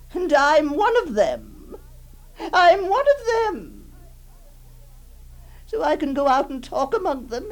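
A clingy, possessive aunt, played by a woman, speaks in a very high, sing-song voice that swoops up and down. She lingers slowly over her words, hushed and tremulous, pleading with the little girl in her care. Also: low-quality recording. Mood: confiding. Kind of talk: dramatic monologue reading.

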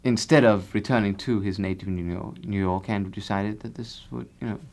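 A man playing a pompous, rambling bass singer. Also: steady tone, brisk, a little mellow, lightly acted. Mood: grandiose. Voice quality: pompous, rambling, fussy correcting. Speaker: half of a singing duo. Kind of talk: improvised comic interview.

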